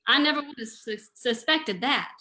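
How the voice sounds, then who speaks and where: A woman's voice: sits high, animated, moderate pace, sharp tone, a little hiss or hum. A woman playing a detective's spirited lady companion, playful and mock-indignant, in old-time radio style. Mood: playful, chiding.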